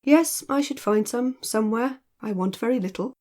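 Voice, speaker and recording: A woman's voice: on the high side, moderate pace, darkish tone, voice pushed up, lightly acted. A woman voicing a teasing, lazy, educated man in an audiobook, with playful, rhetorical exclamations. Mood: breezy, lively. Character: teasing, lazy, mock-solemn educated man with mellow laugh. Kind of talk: audiobook character dialogue.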